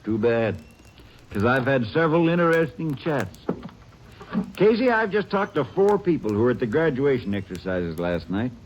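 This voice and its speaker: An actor playing a plainspoken sheriff. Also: male voice, mid-range voice, very animated, normal speed, dull audio, slight background noise, close to an everyday voice. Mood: matter-of-fact. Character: plainspoken male small-town sheriff. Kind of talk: 1940s radio drama acting.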